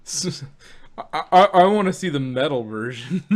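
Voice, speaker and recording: A man's voice: on the high side, animated, slow pace, very noisy. Rambling man in casual review chat. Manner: rambling, restarts often, laughs easily. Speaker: podcast co-host.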